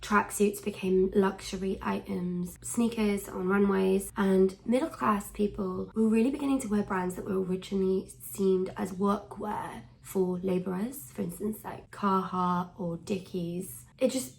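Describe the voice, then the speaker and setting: Frank young woman with gravelly fry. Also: mid-range voice, steady tone, unhurried, hardly any pauses, poor audio. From Wales. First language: Welsh. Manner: frank, conversational, drops to soft near-whisper at times. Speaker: fashion commentator. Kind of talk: casual talking-to-camera commentary.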